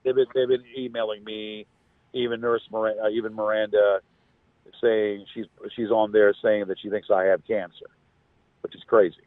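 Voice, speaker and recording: Halting man on a thin phone line. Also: on the low side, flat delivery, dry recording. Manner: halting, repetitive, rambling. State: under the weather, with a migraine. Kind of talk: casual phone conversation. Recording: thin, phone-like call audio.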